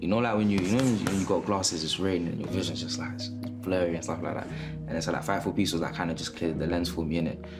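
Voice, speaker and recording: A young man with a very low voice. He talks in a casual, slangy manner about boxing. Music plays clearly behind him. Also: steady tone, fast talker. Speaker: young boxer.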